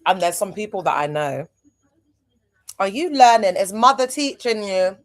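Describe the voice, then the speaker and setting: A woman talks casually to her livestream viewers. Her pitch sits in the middle range, and she is animated, throwing in rhetorical questions and asides as she goes. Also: normal speed. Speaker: livestream host.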